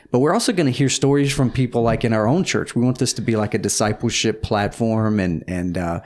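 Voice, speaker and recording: A man's voice: fairly low pitch, a little mellow. A man co-hosting a church podcast, talking earnestly and at length, rambling through long sentences. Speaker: podcast co-host. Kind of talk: casual chat.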